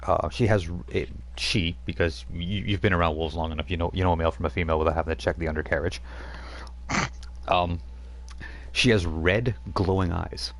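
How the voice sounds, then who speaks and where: A very deep, gravelly man running a tabletop game, who explains rules and narrates scenes. His narration rambles, with restarts and fillers. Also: steady tone, a little fast. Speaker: game master.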